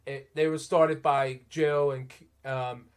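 A man's voice: medium pitch, flat delivery, slow, clean tone. A man in his early twenties talking earnestly and eagerly in a casual conversation, often repeating his words. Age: 20s.

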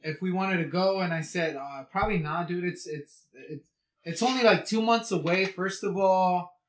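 Man, podcast guest, halting rambling story, high bright voice, echoey room. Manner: rambling, halting, many fillers and restarts. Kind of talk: casual chat.

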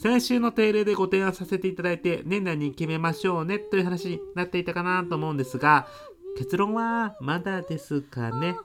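A woman's voice: on the low side, a little fast, lightly acted. A woman playing a polite, deferential young salaryman son-in-law in a comic radio drama, with a hesitant businesslike manner. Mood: businesslike, courteous.

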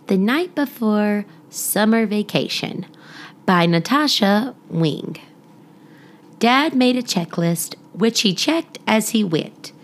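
A woman hosting a children's story podcast, speaking expressively and deliberately as if reading aloud to young listeners. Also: medium pitch, lively intonation. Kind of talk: children's storybook narration and friendly address to listeners.

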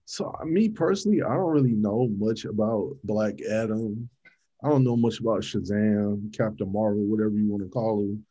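Host, male, low mellow voice, halting with fillers, lo-fi recording. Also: breathy edge. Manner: halting, many fillers and restarts. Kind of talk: casual chat.

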